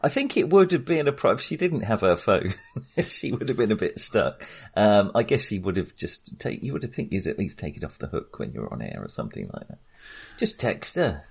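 A husky-voiced man co-hosting a casual film discussion in a hesitant, tentative manner. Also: lowish voice, wildly swooping pitch, a little fast. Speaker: film podcast co-host.

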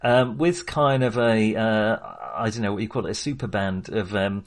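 A man's voice: fairly low pitch, moderate pace. A man co-hosting a casual film discussion, enthusiastic and rambling, often interrupting himself as he goes. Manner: sweary. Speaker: film discussion podcast host.

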